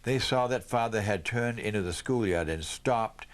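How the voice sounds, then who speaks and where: A man's voice: on the low side, moderate pace. A man reading a children's Christmas story aloud as scripted narration. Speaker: storybook reader.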